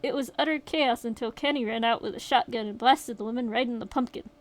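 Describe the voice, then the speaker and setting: A woman's voice: a little high, fast, natural voice. A woman reading as a shaken young survivor. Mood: grim retelling. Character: shaken young survivor recounting a horror night.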